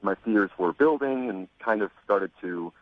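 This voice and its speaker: A man on a phone call to a radio show, speaking hesitantly with many pauses, restarts and "um"s. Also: fairly low pitch, animated, a crowd in the background. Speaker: caller on the phone. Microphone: telephone. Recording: muffled phone line.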